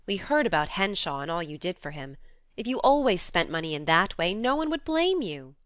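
A woman's voice: lowish voice, sing-song, brisk, fairly bright tone, no room sound, clean studio sound. A woman voicing a blunt, hearty, tomboyish young woman who admonishes earnestly, as character dialogue in an audiobook. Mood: warm, reproving.

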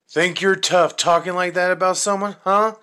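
A man's voice: high-pitched, steady tone, normal speed, pushed and bright, clean tone. A man playing a serious, protective young restaurant worker in an amateur audio drama, earnest and assertive. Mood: stern.

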